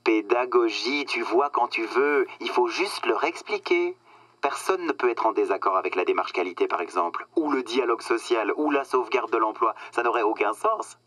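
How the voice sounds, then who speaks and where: A man acts out a condescending corporate boss in a darkly comic radio drama. His voice is bright and sharp, with a patronizing, self-satisfied way of explaining things. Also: medium pitch, moderate pace, close to an everyday voice. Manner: patronizing, self-satisfied, hesitating with filler words. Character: smug, condescending corporate boss phoning an employee. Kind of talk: satirical radio drama acting.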